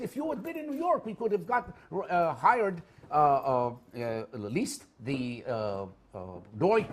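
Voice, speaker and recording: A middle-aged man with an Israeli accent, a guest speaker telling anecdotes to an audience in a lively, swinging way. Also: breathy edge. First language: Hebrew. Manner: lively storytelling, big swings in pitch and loudness, laughs at own jokes. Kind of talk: animated public talk with anecdotes. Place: event hall in front of an audience.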